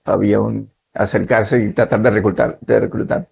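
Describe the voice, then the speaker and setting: A man in his fifties from Nicaragua, a pastor and missionary, telling his life story in a measured way and often adding "¿verdad?". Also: fairly low pitch, flat delivery, vocal fry, darkish tone, breathy edge. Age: 50s. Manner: measured, adds "¿verdad?" tags. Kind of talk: relaxed testimony in conversation.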